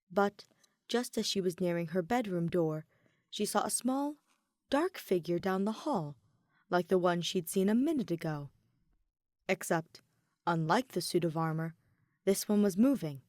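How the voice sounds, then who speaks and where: A woman narrating a fan fiction story in an even, measured storytelling manner. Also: medium pitch, no room sound, studio quality. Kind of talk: audiobook narration.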